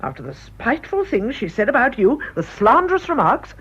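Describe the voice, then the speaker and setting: British clergyman's wife, radio play, forthright, caring, swooping expressive delivery, slightly muffled recording. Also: fairly high pitch, fairly quick, breathy edge. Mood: indignant. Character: forthright, protective clergyman's wife, sharp-tongued but caring. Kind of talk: radio drama acting.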